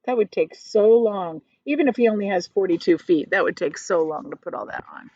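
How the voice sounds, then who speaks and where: Very animated woman reading a children's story. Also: fairly low pitch, a little fast. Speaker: teacher reading aloud. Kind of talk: expressive children's story read-aloud. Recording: slightly dull, lo-fi audio.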